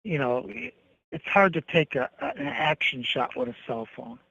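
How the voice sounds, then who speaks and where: A man's voice: mid-range voice, expressive, normal speed, vocal fry, sharp tone, dry recording. Man on a dull lo-fi call-in line. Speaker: caller, guest photographer. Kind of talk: casual interview talk.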